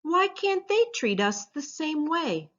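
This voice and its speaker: A woman's voice: sits high, sing-song, slow pace, fairly bright tone, dull audio, voice pushed up, full character voice. Tiny, timid turtle voiced by a woman. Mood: timid, puzzled. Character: tiny timid sweet male child turtle.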